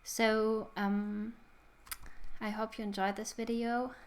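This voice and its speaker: A woman's voice: fairly high pitch, little pitch movement, slow, slight background noise. A young woman, thoughtfully hosting a casual book review. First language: German. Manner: thoughtful, reflective. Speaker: book channel host.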